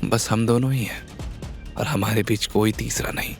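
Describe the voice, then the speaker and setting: A man's voice: fairly low pitch, fairly even intonation, normal speed, faint music behind, natural voice. A man voicing a possessive young lover in an audio drama, menacingly tender.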